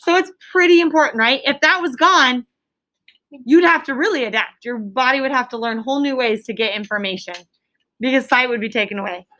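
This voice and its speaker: A woman with a high-pitched, bright voice teaches an elementary class. She is instructive and interactive, putting questions to the group and repeating key answers with clear emphasis. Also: fairly quick.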